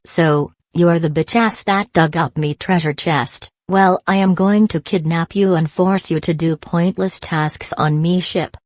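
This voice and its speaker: A man's voice: fairly high pitch, fairly even intonation, measured pace, few pauses, no room sound, voice pushed up, lightly acted. A man voicing a goofy male ghost pirate captain, talking in a mock-menacing, over-the-top pirate way.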